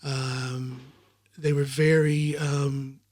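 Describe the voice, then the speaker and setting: A man's voice: mid-range voice, flat delivery, drawn-out words, a little mellow, no room sound, clean studio sound. A man, a podcast host being interviewed, who talks in a rambling, reflective way with many ums and uhs. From United States. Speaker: podcast host in the guest chair. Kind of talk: casual interview chat.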